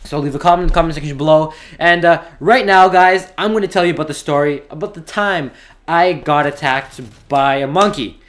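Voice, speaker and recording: A man's voice: fairly high pitch, a little fast, fairly bright tone. A young man from Canada telling a story in a casual, rambling way, often restarting his sentences. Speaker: vlogger.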